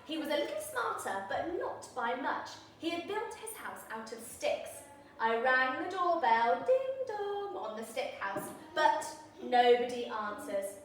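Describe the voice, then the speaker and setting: Casting: female reader playing sly storybook wolf pleading his case, conspiratorial, very lively pitch swings, unhurried delivery. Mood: chatty, unrepentant. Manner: animated, conspiratorial, addresses the listener directly. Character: self-justifying, sly big bad wolf telling his side. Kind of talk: dramatised children's storybook reading. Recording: echoey room, distant mic, faint noise.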